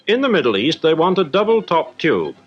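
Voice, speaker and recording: Animated British newsreel correspondent, dull vintage sound. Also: male voice, on the high side, brisk. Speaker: industrial correspondent. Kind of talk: 1950s newsreel scripted report. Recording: dull 1950s newsreel soundtrack, music behind. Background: music.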